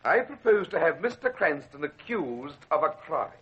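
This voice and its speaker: An actor playing a suave, formal, pompous crime boss in an old radio drama, coolly scheming in a theatrical manner. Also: male voice, on the high side, sing-song, moderate pace, a little hiss or hum. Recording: dull vintage radio recording.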